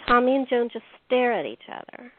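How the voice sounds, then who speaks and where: A woman's voice: on the high side, sing-song, fairly quick. A woman narrating stage directions as the host of a radio play series, reading from a script. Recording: echoey room, tinny phone-like sound.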